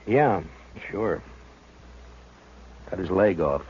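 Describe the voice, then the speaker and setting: World-weary western marshal, deep pitch, terse, gruff, vintage radio drama, muffled old recording. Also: male voice, expressive, extremely slow, darkish tone, exaggerated voice. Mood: grim. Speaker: lead actor and first-person narrator. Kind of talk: 1950s radio western acting.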